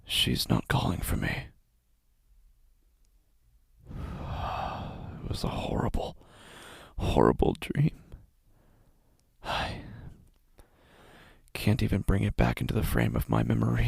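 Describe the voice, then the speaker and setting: An actor playing an anguished, exhausted father in a first-person horror audio drama, speaking in halting, breathy phrases that often sink toward a whisper. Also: medium pitch, very animated, a little mellow, no echo, clean studio sound, full character voice. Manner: halting, broken phrases with long pauses. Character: anguished, exhausted, devoted father haunted by dread.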